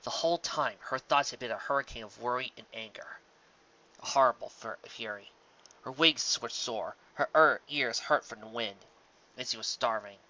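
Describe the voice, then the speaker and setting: A narrator giving a measured, scripted dramatic story reading, occasionally stumbling over words. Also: medium pitch, bright, forceful tone, dull audio. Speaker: amateur audiobook narrator.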